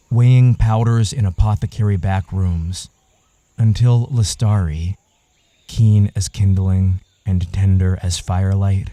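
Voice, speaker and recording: A man narrating a literary audio story in a measured, solemn way. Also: low voice, warm tone, dry recording.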